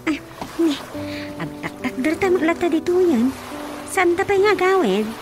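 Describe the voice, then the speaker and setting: Casting: curious small-town woman, Ilocano accent, high swooping voice, radio drama. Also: expressive, unhurried, music in the background. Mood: questioning.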